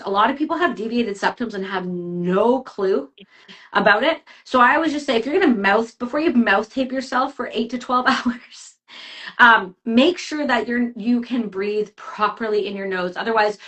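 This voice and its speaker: A woman working as a health coach, giving advice in a chatty podcast conversation with quick asides. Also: on the high side, a bit bright. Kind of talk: casual podcast chat.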